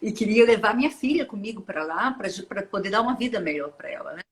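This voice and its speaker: A woman's voice: medium pitch, animated, fast talker. A woman in her forties with a Minas Gerais Brazilian accent, a swimmer chatting as an interview guest, rambling with restarts and laughing often. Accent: Minas Gerais Brazilian Portuguese. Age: 40s. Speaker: guest, open-water ultramarathon swimmer. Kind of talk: casual interview chat.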